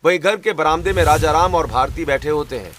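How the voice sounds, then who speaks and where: A man narrates a family cartoon in scripted storyteller style. His voice is a little high for a man, and there is clear rain noise behind it. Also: fairly quick. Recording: loud rain ambience behind voice.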